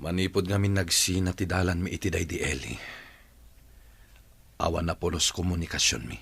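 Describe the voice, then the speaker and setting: A man's voice: low voice, fairly even intonation, moderate pace, gravelly, fairly bright tone, breathy edge, heavily acted. An actor playing an earnest, plaintive man in an Ilocano radio drama. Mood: sorrowful, heavy.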